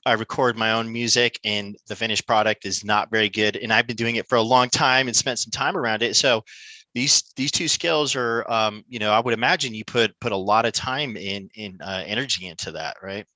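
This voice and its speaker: Bright-voiced, enthusiastic male podcast host. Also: on the low side, brisk, dry recording, rough recording. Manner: enthusiastic, effusive, rambling with many "you know"s. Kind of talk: scripted intro, then casual interview chat.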